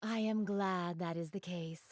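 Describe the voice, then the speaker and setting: A woman's voice: on the low side, fairly even intonation, measured pace, no room sound, clean studio sound, lightly acted. A woman voicing a polite, ladylike young goddess in a video game, speaking formally and graciously to the player. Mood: enthusiastic, slightly embarrassed. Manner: formal, refined, gently ladylike phrasing.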